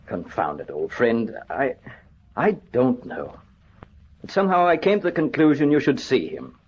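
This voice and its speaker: Formal prison governor, muffled recording. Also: male voice, medium pitch, lively intonation, moderate pace, husky. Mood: earnest. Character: earnest, well-meaning prison governor, an old colonel. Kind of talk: old-time radio drama acting. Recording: dull old radio recording.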